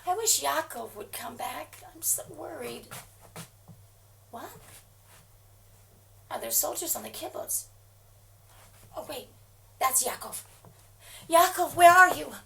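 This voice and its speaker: A frightened woman begging for help over the phone, played by an actress. Her voice sits very high with a gravelly fry, and she swings between hushed whispers and frantic pleading. She sounds set back from the mic, with a faint hiss of ambience behind her. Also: lively intonation, slow, long pauses, distant mic, full character voice. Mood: worried. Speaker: actor playing a frightened mother on phone calls. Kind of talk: dramatic monologue acting.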